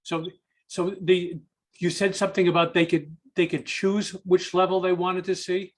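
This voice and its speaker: Male Q&A moderator, lightly raised pitch, casual halting delivery, relaying questions. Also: little pitch movement. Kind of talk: relaying audience questions informally.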